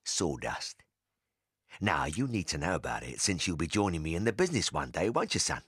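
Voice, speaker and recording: Boastful English father, middle-aged, blustering, lively swooping pitch, bright and brash, dry studio sound, audiobook drama. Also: brisk, slightly rough, no echo, exaggerated voice. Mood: smug boasting. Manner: blustering, scornful, colloquial. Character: crooked, boastful, bullying middle-aged father and used-car dealer.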